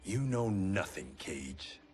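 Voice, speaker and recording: Deep-voiced, stern swordsman. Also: sing-song, takes it slowly, a little mellow, heavily acted. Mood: curt. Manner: terse, grave, menacing. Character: stern, proud male swordsman of a fallen clan. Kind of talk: video game cutscene acting.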